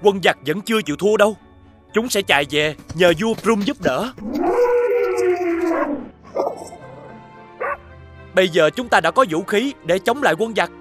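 A man voicing a brave, resolute young village hero in an animated fairy tale, earnest and rallying. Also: sits high, brisk, over music. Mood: urging.

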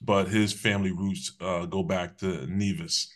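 Low, measured male interview guest. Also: steady tone. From Connecticut. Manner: measured, thoughtful, some restarts. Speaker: guest, public safety official. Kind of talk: interview answers. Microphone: video call webcam.

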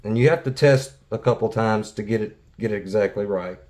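A man's voice: fairly low pitch, brisk, a little mellow. A middle-aged man giving a hands-on demonstration in a wood-walled workshop, explaining each step plainly with some restarts. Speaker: sawmill blade technician.

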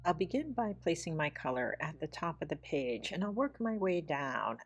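A woman teaching watercolor painting, explaining each step in a measured, plain voice-over. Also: deep voice. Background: soft guitar music.

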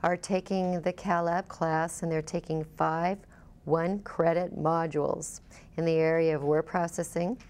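A woman with a low, soft-edged, mellow voice talks as an instructor. She explains things in the style of an informational interview. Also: little pitch movement, a little slow, clean tone. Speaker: college program coordinator and instructor.

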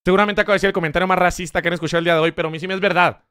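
A man's voice: high voice, fairly even intonation, very fast, music in the background. A Mexican man streaming, reacting to clips in joking, crude casual commentary.

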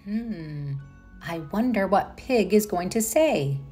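Woman reading a storybook, slow and animated. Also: mid-range voice, faint music behind. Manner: expressive, addressing young listeners. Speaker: storybook reader. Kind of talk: children's read-aloud narration.

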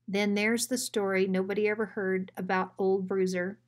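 Woman voicing a loud, blunt big Rottweiler bully. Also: medium pitch, level tone, normal speed, lightly acted. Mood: mournful, self-pitying. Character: big ugly bullying male Rottweiler, gruff, then self-pitying.

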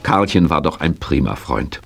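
A man narrating a scripted children's radio play as an expressive storyteller. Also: very deep voice, normal speed, creaky, over music.

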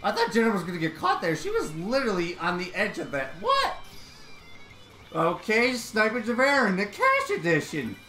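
Brief: young man, game streamer, excitable high-pitched commentary. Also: normal speed. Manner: jumps to high-pitched yelps when startled. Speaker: gaming streamer. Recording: roomy with game music behind.

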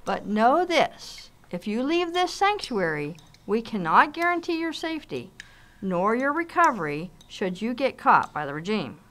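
Radio drama role: a woman as composed female rebel leader, fairly high, formal, measured, with wide inflection. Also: sing-song, a bit bright. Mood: solemn warning. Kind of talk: amateur radio drama acting.

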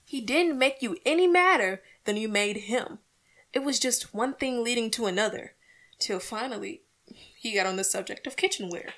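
A woman's voice: a little high, lively intonation, normal speed, fairly bright tone. A woman playing an earnest, peacemaking housewife and mother in an old-time radio comedy, folksy, plaintive and coaxing. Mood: pleading.

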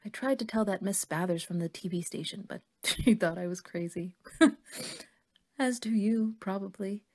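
A woman playing a kindly, worried older woman who believes in fairies, gentle and motherly in an audio drama. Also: mid-range voice, a little slow, close to an everyday voice. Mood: grave, haunted.

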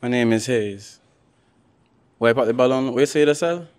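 A man's voice: mid-range voice, darkish tone, a little hiss or hum. A young Kittitian man with a Kittitian accent, a dating show contestant bantering playfully and getting defensive, on a handheld mic indoors. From Saint Kitts and Nevis. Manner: playful, quick to get defensive. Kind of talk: casual banter.

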